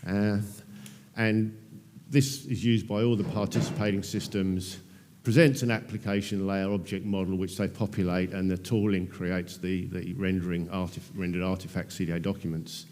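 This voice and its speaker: A man in his fifties with an English accent, giving a relaxed technical conference talk full of ums and dry self-deprecating asides. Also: sits low, normal speed. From England. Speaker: conference presenter. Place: large conference hall. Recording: long hall reverb.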